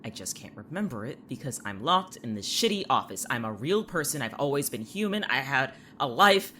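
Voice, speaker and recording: A woman's voice: extremely low pitch, big pitch swings, fairly quick, fairly bright tone, a little hiss or hum, heavily acted. A woman playing a defensive, foul-mouthed woman in an audio drama, combative in manner. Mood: stubborn. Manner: combative, profane. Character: defensive, foul-mouthed young woman insisting she is real.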